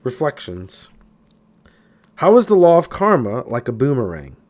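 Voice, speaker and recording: A man's voice: medium pitch, sing-song, measured pace, a little mellow. A man reading a spiritual self-help book aloud in an emphatic manner, occasionally stumbling over a word. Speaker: audiobook reader.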